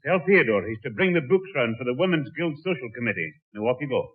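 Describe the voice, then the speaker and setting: A man's voice: mid-range voice, big pitch swings, fast talker, lightly acted. A man playing a stern, blustering old grandfather, scolding and commanding, in a 1960s radio sitcom. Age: older. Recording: tinny old radio recording.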